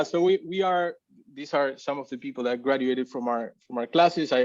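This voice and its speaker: A man's voice: medium pitch, highs cut off. A man giving a casual talk at a tech meetup, hesitant, with many fillers and restarts. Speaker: community organizer presenting.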